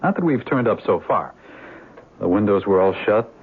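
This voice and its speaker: An actor playing a courteous, grave police lieutenant who speaks hesitantly in a measured way, in a radio drama. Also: male voice, on the low side, expressive, slight background noise. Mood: measured, puzzled. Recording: muffled lo-fi 1970s radio recording.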